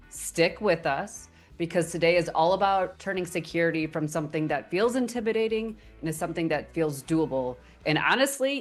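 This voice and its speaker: A woman co-hosting a tech podcast, enthusiastic and lightly playful. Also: fairly low pitch. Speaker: podcast co-host.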